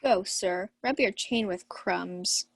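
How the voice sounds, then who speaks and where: Young woman as a creaky-voiced, drunken carousing uncle. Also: medium pitch, takes it slowly, dry recording. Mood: defiant. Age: young adult. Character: Sir Toby Belch, drunken rowdy carousing uncle. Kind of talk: 1940s radio play acting of Shakespeare.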